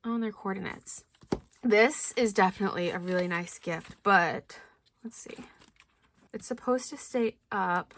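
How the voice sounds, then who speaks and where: A young woman chatting casually through a stationery unboxing, thinking aloud with lots of likes and ums, her voice a little hoarse. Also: mid-range voice, pushed and bright. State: slightly hoarse. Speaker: planner vlogger.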